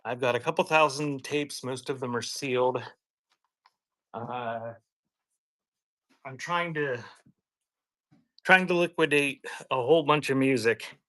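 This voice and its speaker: Bright-voiced man hosting a casual live sale. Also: mid-range voice, takes it slowly, long pauses, dry recording, clean studio sound. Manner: informal, rambling, conversational. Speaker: live auction seller, host. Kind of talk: casual live-selling patter with chatty anecdotes.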